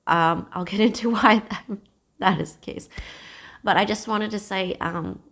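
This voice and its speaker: A young woman hosting a knitting podcast from home. She is hoarse from a cold and talks gently to camera, with thoughtful pauses. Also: mid-range voice, steady tone. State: hoarse, losing her voice from a cold. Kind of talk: casual chat to camera. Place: at home, seated.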